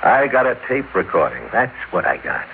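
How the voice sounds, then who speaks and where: A self-righteous, suspicious businessman who insists and accuses. His mid-pitched voice rises and falls sharply in classic radio-drama style. The recording is thin and tinny with some noise. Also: big pitch swings, normal speed. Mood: insistent. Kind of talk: old-time radio drama acting.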